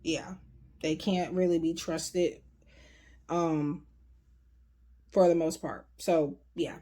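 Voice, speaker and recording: A woman giving a casual, rambling tarot reading to her viewers, her voice going a bit hoarse. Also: sits low, steady tone, takes it slowly, dark tone. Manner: chatty, rambling, frequent fillers. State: voice getting hoarse. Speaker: tarot reader.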